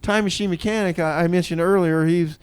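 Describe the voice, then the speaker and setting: A man hosting a community radio music show with casual, rambling, tongue-in-cheek chat, often halting and repeating his words. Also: high-pitched, steady tone, smooth, no room sound.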